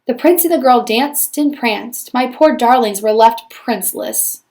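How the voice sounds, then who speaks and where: A woman acts out a snobbish stepmother telling her side of a storybook tale. She is theatrical and exasperated, forever sorry for herself, in a high voice. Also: measured pace, darkish tone, natural voice. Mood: put-upon. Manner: theatrical, put-upon.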